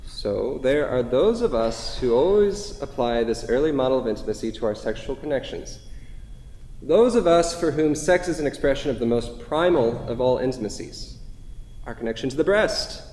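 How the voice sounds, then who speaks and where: An actor playing an earnest, long-winded, pedantic intellectual lover, hedging in academic phrases in a staged play reading. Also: male voice, mid-range voice, expressive, normal speed, darkish tone, close to an everyday voice. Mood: pedantic, defensive. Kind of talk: staged play reading before a live audience. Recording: echoey hall, noisy, distant reverb.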